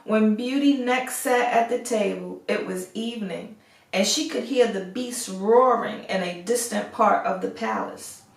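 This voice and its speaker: Slow-paced woman storyteller in a roomy space. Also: medium pitch, gravelly. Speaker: storyteller reading aloud. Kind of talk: expressive fairy tale read-aloud narration. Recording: roomy echo, distant microphone.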